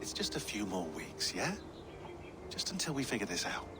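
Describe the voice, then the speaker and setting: An actor playing a dry, sardonic research teammate in a video game, wry and a little pedantic. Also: male voice, on the low side, sing-song, normal speed. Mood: firm, reassuring. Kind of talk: naturalistic video game acting. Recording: clear noise, ambience behind the voice.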